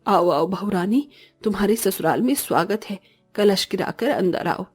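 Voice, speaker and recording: A woman's voice: mid-range voice, animated, normal speed, breathy edge, soft background music. A woman playing a bossy middle-aged mother-in-law in a cartoon family drama, with a commanding, drawling manner. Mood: welcoming.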